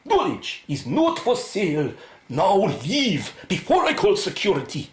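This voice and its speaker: Woman as slow-spoken, proud male scientist. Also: mid-range voice, wildly swooping pitch. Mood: indignant, defiant. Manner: formal, emphatic, precise diction. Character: proud, indignant, principled male research scientist. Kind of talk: radio drama acting. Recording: roomy echo, faint noise.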